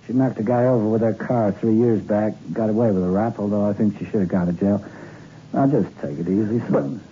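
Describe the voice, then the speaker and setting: An actor playing a gruff, world-weary, paternal police lieutenant in a clipped, dry style of 1960s radio crime drama. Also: male voice, fairly low pitch, fairly quick, mellow, muffled recording. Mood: resigned, paternal. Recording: thin, noisy old radio recording.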